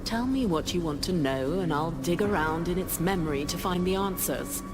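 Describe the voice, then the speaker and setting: An actress playing a skilled, earnest sorceress in a video game, with a measured, explanatory delivery. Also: female voice, lowish voice, animated, over music, lightly acted. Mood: calm, assured. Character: skilled, earnest female sorceress who probes the dead.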